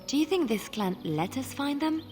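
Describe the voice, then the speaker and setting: An actress playing a gentle, thoughtful adventuring companion in a fantasy game, polite and reflective. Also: female voice, sits high, very animated, moderate pace, over music.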